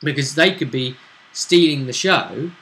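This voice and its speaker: A man's voice: fairly high pitch, measured pace, a bit bright, poor audio. A man acting as game master, casually recapping a tabletop role-play session and checking in with his player as he goes. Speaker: game master and host of the vidcast. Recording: faint background noise.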